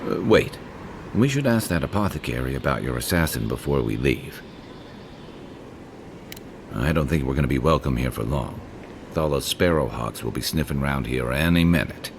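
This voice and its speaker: A man voicing a gruff, wry, streetwise adventuring companion in a video game. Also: a bass voice, animated, moderate pace, long pauses, background noise. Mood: wry, practical.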